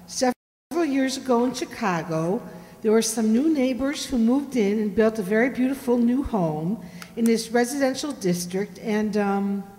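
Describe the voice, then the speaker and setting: A woman's voice: a little high, a little mellow, lightly acted. A woman playing an earnest audience member on an old radio show, telling a dramatic tale in careful detail. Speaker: audience contestant telling a story. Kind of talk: 1950s radio acting, storytelling. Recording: reverberant hall.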